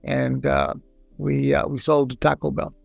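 A man's voice: mid-range voice, level tone, a little slow, creaky, husky, no echo, faint music behind. A man in his sixties from Texas who grew up in Puerto Rico, telling stories as a guest in a casual interview, with lots of fillers. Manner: chatty storyteller, lots of fillers. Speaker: guest, business founder.

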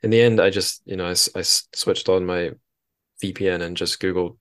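A man's voice: sits low, steady tone, fairly quick. A man hosting a podcast interview in a casual, rambling, self-deprecating way.

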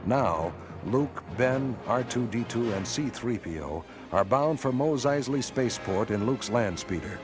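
A man narrating an epic space saga for a radio drama in a measured, solemn storytelling style. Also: medium pitch, expressive, music in the background, close to an everyday voice. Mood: solemn, grave.

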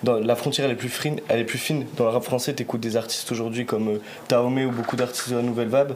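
A man's voice: medium pitch, fairly even intonation, fast talker, a little mellow, slight background noise. A young man hosting a podcast, leading the chat casually with questions and slangy fillers. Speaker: podcast host. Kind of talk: casual interview.

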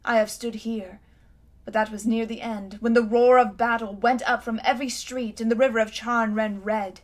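Woman doing storybook tyrant queen, imperious, loud, haughty, a little higher. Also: steady tone, moderate pace, natural voice. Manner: haughty, commanding, grand.

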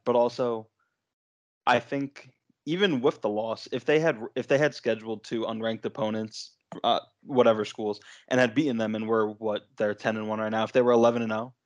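A young man co-hosting a sports podcast in a casual, conversational style. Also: mid-range voice.

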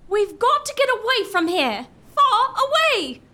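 A woman's voice: extremely high pitch, big pitch swings, a little slow, sharp tone, full character voice. A woman playing a sweet, melodramatic young heroine in love in a gothic comedy audio drama. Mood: tender, urgent.

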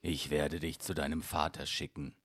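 A mournful, lovelorn beast, put on by a man reading a fairy tale, rumbling very low and slow. Every line drags with heavy sorrow, and the dry, close sound keeps him right at the ear. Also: flat delivery, fairly bright tone, breathy edge, no room sound, heavily acted. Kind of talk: storybook reading.

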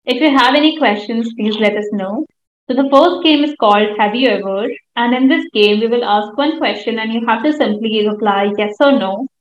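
A woman's voice: fairly high pitch, normal speed, roomy sound, muffled recording. A woman with an Indian accent co-hosting a podcast in a scripted, presenting style. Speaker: podcast co-host.

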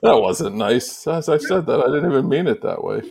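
A man's voice: medium pitch, very animated, moderate pace, creaky, heavy background noise. A man hosting a casual sports talk podcast with a joking, teasing manner. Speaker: podcast host.

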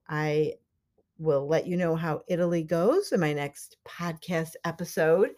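A middle-aged woman hosting a solo podcast, talking casually and encouragingly to her listeners and laughing often. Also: fairly low pitch. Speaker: podcast host and life coach.

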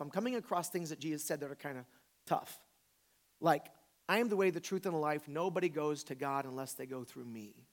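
A man in his forties from the American South, a pastor giving an informal, conversational sermon with emphatic repetition. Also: a little high. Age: 40s. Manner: informal, emphatic, repeats phrases for effect.

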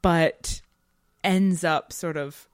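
A woman's voice: fairly low pitch, fairly even intonation, drawn-out words, no echo, clean studio sound. A woman co-hosting a podcast, talking through a literary analysis in an emphatic, reflective way with academic phrasing. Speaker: podcast co-host. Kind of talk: analytical literary discussion, conversational.

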